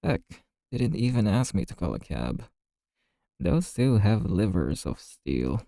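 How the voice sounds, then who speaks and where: Man as a low, slow-talking chatty bartender. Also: very animated, vocal fry, dark tone, no room sound, studio quality, full character voice. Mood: relaxed, friendly. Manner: gossipy, unhurried, colloquial. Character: chatty, amused male bartender, easygoing and friendly. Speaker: bartender in audio roleplay. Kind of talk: ASMR roleplay, casual storytelling.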